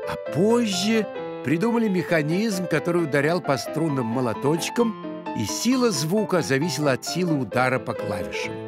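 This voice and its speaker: An actor playing a courteous maestro guide, narrating an educational children's cartoon expressively. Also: male voice, a little high, very animated, normal speed, music in the background. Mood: explaining.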